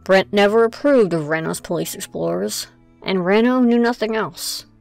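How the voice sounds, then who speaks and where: A woman's voice: medium pitch, moderate pace, vocal fry, a little mellow, soft background music. A woman narrating a scripted audio drama as its storyteller.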